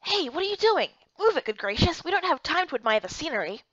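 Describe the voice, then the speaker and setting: Woman voicing a brusque, impatient young merman companion, high-pitched. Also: sing-song, fast talker, a bit bright, dry recording, dull audio.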